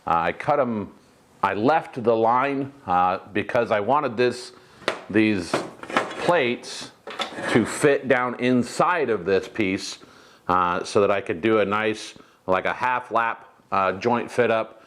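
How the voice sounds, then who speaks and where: An American man with a fairly low voice talks through a hands-on project for his how-to channel. His delivery is casual and laid-back, rambling along with hesitant phrasing. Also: slow, fairly bright tone, slight background noise. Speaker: host of a metal fabrication how-to channel. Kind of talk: casual workshop vlog talk.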